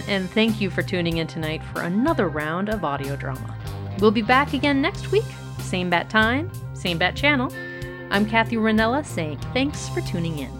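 Female radio host over soft music. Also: a little high. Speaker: audio drama show host. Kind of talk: scripted radio outro.

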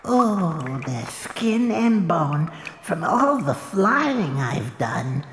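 In a noisy, echoing hall, a groveling bat servant in a fairytale drama. The creature's voice is lowish and wobbles widely as it whines and stammers. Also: female voice, sing-song, extremely slow, exaggerated voice. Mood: whiny, pleading. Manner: fawning, stammering, whining. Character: cringing, flattering winged bat-like lackey, whiny and put-upon. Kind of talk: fairytale audio drama acting. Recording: echoey hall with loud background noise.

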